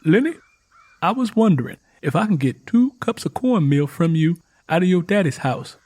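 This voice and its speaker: A man's voice: a little high, lively intonation, moderate pace, a little mellow, no echo, pitched above the performer's own voice. A man voicing a folksy young Southern country woman in an audio drama, a cousin who talks in polite, pleading dialect.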